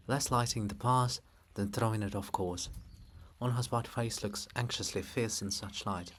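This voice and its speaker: A man narrating a dramatic play reading, delivering scripted stage directions in a measured way. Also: on the low side, fairly bright tone, a little hiss or hum. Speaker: reader and narrator.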